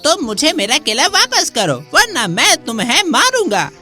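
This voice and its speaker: A woman's voice: high voice, wildly swooping pitch, normal speed, sharp tone, faint music behind, pitched above the performer's own voice, full character voice. A woman voicing a small orphaned monkey child in a children's story, plaintive and earnest. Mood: indignant, whiny. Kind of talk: children's moral story dialogue.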